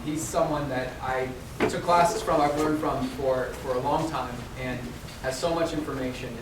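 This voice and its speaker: A man from Central Ohio giving a conference talk, with many ums and restarts. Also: fairly high pitch. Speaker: conference presenter. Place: conference room. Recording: echoey hall, mic at a distance, audible noise.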